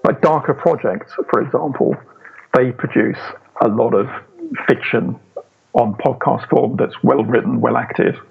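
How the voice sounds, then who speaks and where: Very gravelly man on a tinny line. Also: mid-range voice, steady tone, measured pace. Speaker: interview guest, audio drama writer. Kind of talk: casual interview chat. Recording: tinny phone-like remote call, faint music.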